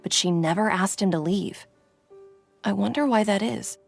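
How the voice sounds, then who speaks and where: A woman's voice: medium pitch, moderate pace, gravelly, faint music behind. An actress voicing a musing, thoughtful townswoman in a video game. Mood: pensive.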